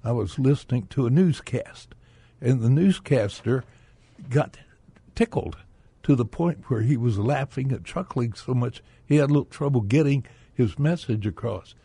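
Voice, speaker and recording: Radio guest: gravelly, husky man, dry close mic. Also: mid-range voice, normal speed, mellow, dry recording. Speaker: guest, bank director. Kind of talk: radio interview.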